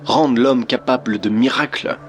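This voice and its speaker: A man's voice: medium pitch, a little slow, soft background music, lightly acted. Rational, confident mid-voiced man played by an actor. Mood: assertive. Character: rational man confidently championing scientific progress. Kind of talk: acted vox pop in audio drama.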